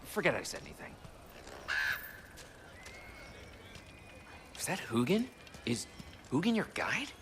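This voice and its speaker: An actor playing a friendly, curious, excitable young refugee man in a video game, chatty and awkward, often stopping and starting. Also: on the high side, brisk, a little hiss or hum. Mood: chatty, concerned. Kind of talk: naturalistic video game acting.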